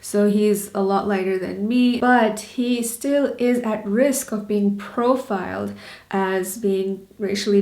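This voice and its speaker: A woman's voice: medium pitch, a little mellow, roomy sound. A woman in her thirties from Bangladesh and Canada, talking candidly in a personal vlog, earnest and questioning with small laughs. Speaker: vlogger.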